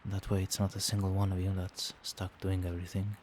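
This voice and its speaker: A man playing a doting, protective vampire boyfriend in a soft-spoken, soothing and attentive ASMR roleplay. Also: low-pitched, monotone, brisk, background noise, natural voice. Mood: tender, concerned. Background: rain.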